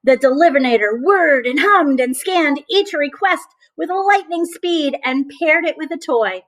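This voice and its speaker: High-voiced woman reading a storybook aloud. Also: animated, unhurried, fairly bright tone. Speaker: teacher reading aloud to children. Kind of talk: storybook read-aloud with asides to children.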